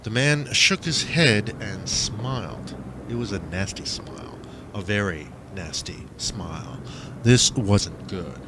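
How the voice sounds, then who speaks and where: A man's voice: lowish voice, slow pace. A man reading a first-person story aloud as a dramatic audiobook narrator. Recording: faint noise behind the voice.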